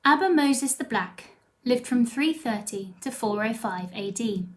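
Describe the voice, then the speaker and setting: A woman's voice: a little high, expressive, measured pace. A woman reading scripted biographical narration.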